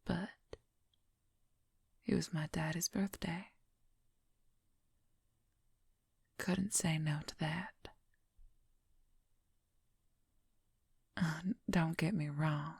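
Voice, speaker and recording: Woman playing female werewolf, possessive and flirtatious, ASMR whisper, very breathy and husky, lowish pitch, slow intimate delivery. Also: fairly even intonation, a little mellow, no echo, studio quality, full character voice. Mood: soft, discontented. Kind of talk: whispered ASMR role-play, personal attention.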